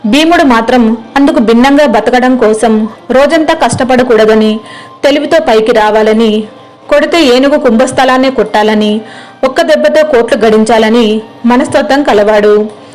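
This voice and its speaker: Woman narrating a moral story from a script, kinda high voice. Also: normal speed, a little mellow, poor audio.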